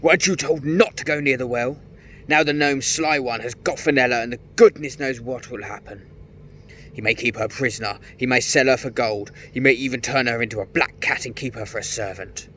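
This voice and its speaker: A man's voice: mid-range voice, fairly quick, pushed and bright, a little hiss or hum, pitched above the performer's own voice, close to an everyday voice. A man voicing an angry fairy lord scolding children in a storybook reading. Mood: raging. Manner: raging, scolding.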